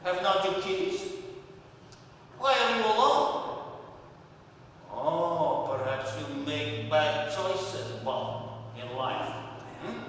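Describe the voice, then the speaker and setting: A man voicing a gloomy older immigrant landlord, speaking broken English in slow, mournful musings and muttered asides. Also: high voice, fairly bright tone, a little hiss or hum, full character voice. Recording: echoey distant sound with long reverb.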